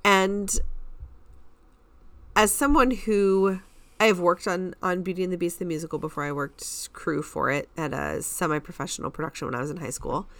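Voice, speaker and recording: A woman with a bright, forward voice that sits a little low co-hosts a podcast. She chats casually with plenty of banter, and the sound is close and dry. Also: moderate pace, no room sound. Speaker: theater podcast co-host.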